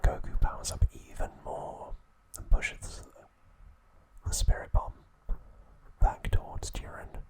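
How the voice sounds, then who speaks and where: A man hosting an ASMR video, narrating trivia in a soft, deliberate, unhurried whisper. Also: rough recording. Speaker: ASMR host.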